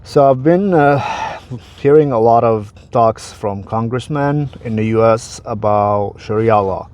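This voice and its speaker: A man's voice: medium pitch, measured pace, a little mellow. Casual man. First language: Arabic. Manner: conversational, repeats words, restarts phrases. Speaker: vlogger explaining religion. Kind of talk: casual explanatory talk to camera.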